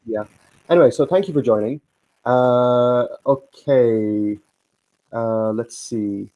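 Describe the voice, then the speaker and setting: A man hosting a casual live stream, slightly hoarse and halting, with many restarts. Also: medium pitch, warm tone, low-quality recording. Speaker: stream host.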